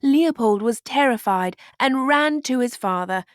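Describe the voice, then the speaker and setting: Female read-aloud narrator for kids' storybook, animated intonation, deliberate slow pacing. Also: fairly high pitch, no echo, studio quality.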